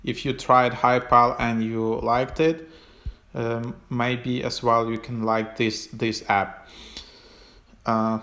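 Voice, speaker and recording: A man giving a casual, unscripted app walkthrough as a tutorial host, in a hesitant, halting way with trailing phrases. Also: medium pitch, level tone, pushed and bright.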